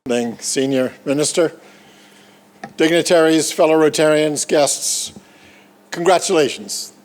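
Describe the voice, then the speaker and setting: A man from the Bahamas giving an earnest, encouraging ceremonial speech at a podium as a Rotary Foundation leader. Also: medium pitch. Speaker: Rotary Foundation trustee chair.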